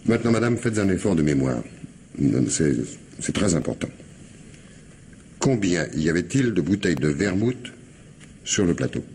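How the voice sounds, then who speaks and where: An actor playing a polite but insistent police commissioner in a radio mystery, questioning deliberately with hesitations and repeated words. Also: male voice, sits low, sing-song, darkish tone, a little hiss or hum. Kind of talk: French radio mystery drama acting.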